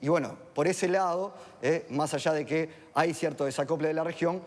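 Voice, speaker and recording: A man, an economist explaining things in a measured, analytical way on a TV panel. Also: fairly high pitch, long reverb tail. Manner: measured, explanatory, with filler tags.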